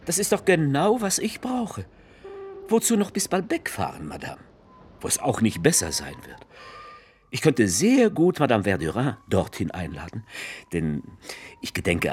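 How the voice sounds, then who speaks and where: An actor playing a fussy, chattering society gentleman in a theatrical radio drama, exclaiming and confiding as he goes. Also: deep voice, slight background noise. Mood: excited. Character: fussy, chattering male society guest, new to the resort.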